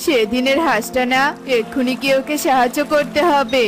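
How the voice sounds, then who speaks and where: A woman's voice: high voice, fairly even intonation, moderate pace, lightly acted. A woman voicing a helpful, inquisitive male bird with a reassuring manner in a children's cartoon. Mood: questioning. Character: helpful, questioning male bird, devoted husband. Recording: music playing behind the voice.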